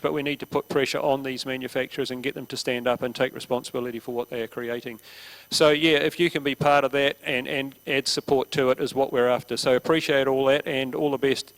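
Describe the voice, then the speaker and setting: A man's voice: mid-range voice, poor audio. A man with a mid-range voice speaks plainly at a seminar. His manner is practical and down to earth, and he often restarts phrases as he talks. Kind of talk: casual seminar talk.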